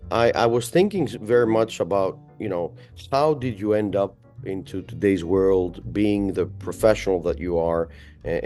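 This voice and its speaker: A man with a lowish voice hosts an interview in a formal, courteous way. His questions wander and restart, padded with fillers. Soft music sits faintly in the background. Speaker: interviewer and show host. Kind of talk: web series interview.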